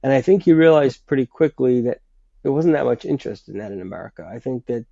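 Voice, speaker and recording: A congested man with a gravelly, mellow voice talks through history and keeps restarting his sentences. The recording is dry and dull, with a lo-fi sound. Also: mid-range voice, animated, fast, no echo. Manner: hesitant, many restarts and fillers. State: congested with a sinus infection. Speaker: guest writer. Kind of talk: conversational history explanation.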